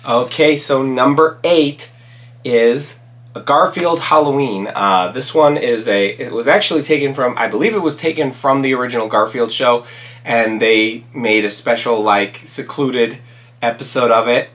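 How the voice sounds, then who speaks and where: Raspy man rambling through movie picks. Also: medium pitch, normal speed, fairly bright tone. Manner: rambling, many ums and restarts. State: raspy from a cold. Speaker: channel host reviewing movies. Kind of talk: casual solo commentary.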